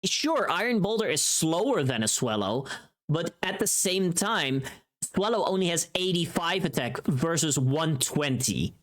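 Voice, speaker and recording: A livestream host chatting casually with viewers in an animated, informal, sweary way. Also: medium pitch, measured pace.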